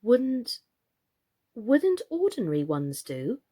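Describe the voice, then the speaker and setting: A gentle young girl from a storybook, voiced by a woman, unsure of herself and a little dreamy. Her voice sits fairly high and takes its time, hesitant and questioning. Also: wildly swooping pitch, slow, breathy edge, exaggerated voice. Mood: uncertain.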